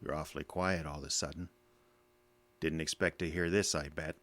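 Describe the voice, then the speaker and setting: An actor with an American accent playing a bitter, defensive middle-aged army veteran in an audio drama, gruff, sardonic and curt. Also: male voice, a bass voice, fairly even intonation, normal speed, fairly bright tone, no echo. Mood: bitter, argumentative.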